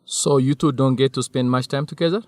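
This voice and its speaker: A man's voice: medium pitch, fairly even intonation, fairly quick, no room sound, lightly acted. An actor playing a protective, teasing young male student friend in a radio drama for English learners. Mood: curious.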